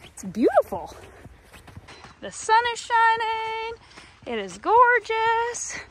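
A woman's voice: very high-pitched, big pitch swings, slow pace. A chatty woman in her thirties rambles in a down-to-earth way about farm work, sounding sore and tired. She talks into a phone held at arm's length outside on a farm, with some outdoor noise in the phone audio. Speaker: farmer vlogger. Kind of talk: casual vlog chat to camera. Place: outdoors on a farm, by fields and a cattle barn.

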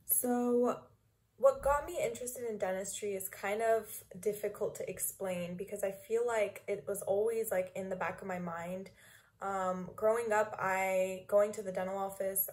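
Casting: twenties woman, dental student, relaxed webcam chat, lots of fillers. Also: medium pitch. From Los Angeles, California. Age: 20s. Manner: chatty, many ums and likes. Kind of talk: interview answers to camera. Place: small room indoors.

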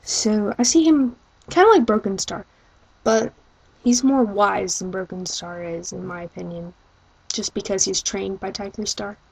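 A young woman talks casually as an amateur voice-over auditioner. Her voice is a bit husky and unhurried, and she rambles with a self-deprecating streak. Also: mid-range voice, darkish tone, low-quality recording. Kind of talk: casual self-introduction and chat between audition lines.

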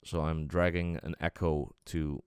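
A man with an extremely low, smooth voice walks through a software tutorial in a casual way. He explains step by step, feeling out his sentences as he goes. The sound is dry and close to the mic. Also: little pitch movement, unhurried, no echo. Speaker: music production tutorial presenter.

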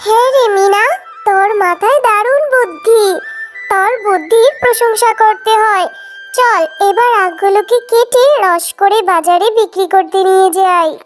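A woman's voice: extremely high pitch, normal speed. A woman voicing a humble, caring fox mother with an earnest, plaintive manner in a cartoon story. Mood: pleased. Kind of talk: children's cartoon story dialogue. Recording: music behind the voice.

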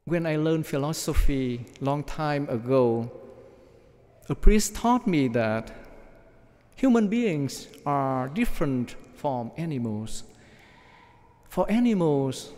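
A middle-aged man, a priest, preaching a measured sermon from notes at a church lectern microphone. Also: fairly high pitch, very animated, mellow. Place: large church, at the ambo. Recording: long church reverb.

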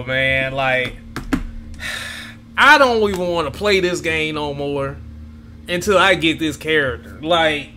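A young man reacting to gameplay from a home room, excitable and swearing freely as he talks to his viewers. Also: high-pitched, slight background noise. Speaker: gaming YouTuber. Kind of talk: casual gameplay reaction commentary. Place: home room with gaming chair.

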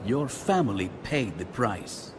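Smug, swooping gangster villain. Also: male voice, on the low side, big pitch swings, takes it slowly, slight background noise. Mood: smug menace. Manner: mocking, theatrical. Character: smug theatrical male Russian gangster villain.

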